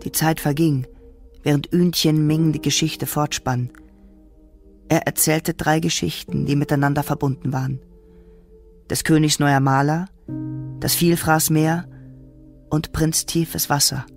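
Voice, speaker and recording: An actor narrating a science fiction radio drama in a scripted style. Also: male voice, on the high side, fairly even intonation, a little slow, pauses often. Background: music.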